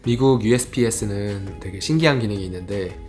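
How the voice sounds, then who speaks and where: A young man explaining things in a casual vlog, friendly and quick. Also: on the low side, a little mellow, soft background music. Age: young adult. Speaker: vlogger. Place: car and post office.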